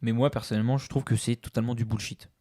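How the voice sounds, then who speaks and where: A man's voice: medium pitch, level tone, quick pace, mellow, no room sound, clean studio sound. A man co-hosting a casual podcast, blunt and provocative in his opinions. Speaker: podcast co-host. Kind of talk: casual chat over a meal.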